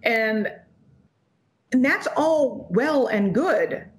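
A woman in her forties, an economist guest, talking emphatically and quickly over a video call from a home office. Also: on the high side, expressive. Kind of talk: animated interview commentary. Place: home office in front of a logo backdrop. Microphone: video call webcam.

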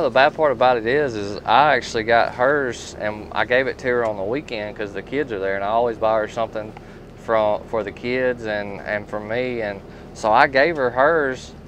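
A man's voice: medium pitch, rough recording. A man talking casually and folksily as a tool dealer showing products. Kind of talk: casual product talk and storytelling. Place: inside a tool truck. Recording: steady background noise.

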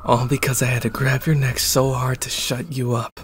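A man's voice: mid-range voice, little pitch movement, moderate pace, a little hiss or hum, natural voice. A man playing a jealous, controlling stalker in an ASMR roleplay, with a cold, clipped, threatening delivery. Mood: cold blame. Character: jealous, controlling, menacing young male stalker.

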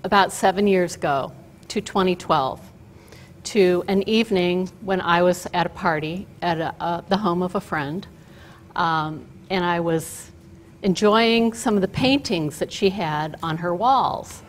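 An older woman giving a chatty, story-driven talk at a podium, telling it with fillers and asides in a loud voice. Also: on the low side, a little slow, a little hiss or hum. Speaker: guest speaker. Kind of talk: lecture with slides. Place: auditorium stage at a podium. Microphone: podium mic.

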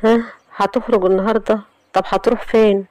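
A woman's voice: mid-range voice, little pitch movement, fast talker, darkish tone, no echo, voice pushed up, close to an everyday voice. A woman with an Egyptian accent voicing a troubled young man who speaks hesitantly and stammers.

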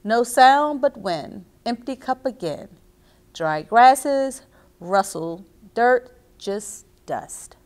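A middle-aged woman reading and explaining to children as a story time host, expressive and speaking directly to her young listeners. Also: on the high side, extremely slow. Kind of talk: children's read-aloud and instruction. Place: small indoor reading nook with bookshelves.

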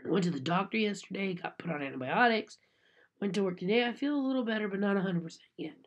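A woman's voice: mid-range voice, animated, fast talker, dull audio. Woman, 30s, nasal from a cold; chatty home vlogger, webcam mic. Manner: chatty, apologetic. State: sick with a cold, congested. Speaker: unboxing vlogger. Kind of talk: casual chat to camera. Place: small room indoors. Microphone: webcam at desk.

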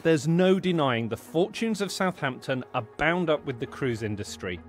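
A man narrating a news report as the reporter, inquisitive and lively. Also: fairly high pitch, moderate pace, soft background music.

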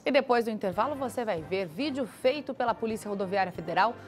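A woman's voice: medium pitch, lively intonation, fast talker, slight background noise. Woman anchor reading the news. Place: TV news studio.

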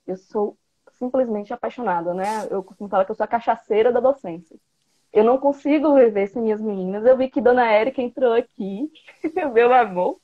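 A woman's voice: a little high, fairly quick, narrow, tinny audio. A woman in her thirties from interior Bahia with a Bahian Brazilian Portuguese accent, chatting playfully as a guest over a video call on an earphone mic. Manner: chatty, playful, gestures a lot. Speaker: guest, biology teacher. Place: small room indoors. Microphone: earphone headset mic on video call.

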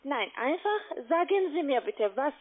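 A woman's voice: high voice, animated, a little slow. A woman with a foreign accent in German, working as a call center agent and giving instructions insistently and repetitively. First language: not German. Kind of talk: phone sales instructions. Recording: muffled narrow-band phone line.

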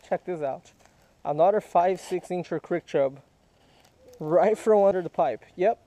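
A man's voice: high-pitched, takes it slowly, mellow. A man patiently coaching and quizzing a child while fishing outdoors by a creek, recorded on a head-mounted camera. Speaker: fishing vlogger. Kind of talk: casual teaching chat while fishing. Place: outdoors by a small creek in a park. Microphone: head-mounted action camera.

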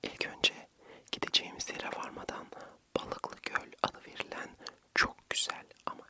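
A young man whispering gently and soothingly in a breathy voice, narrating an ASMR vlog close to the mic. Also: normal speed. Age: young adult. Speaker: ASMR vlogger.

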